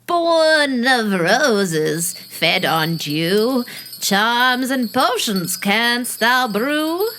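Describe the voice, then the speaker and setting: A magical spirit-caller in a theatrical audio play. The delivery is extremely slow and incantatory, in a bright voice with sweeping pitch. Chimes and some noise sit behind it. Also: female voice, big pitch swings, exaggerated voice. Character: airy sprite and its summoner in melodrama play. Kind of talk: audio drama, play-within-a-play.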